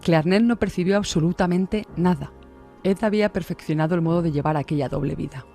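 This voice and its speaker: Higher-pitched man narrating true crime. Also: brisk, gravelly, darkish tone, soft background music.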